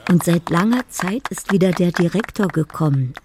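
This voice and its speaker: A woman's voice: fairly low pitch, lively intonation, normal speed, noisy background, lightly acted. An actress playing a dreamy, lonely woman who muses poetically over her love letters in a radio drama. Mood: dreamy, musing. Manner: poetic, musing. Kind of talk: literary radio drama monologue.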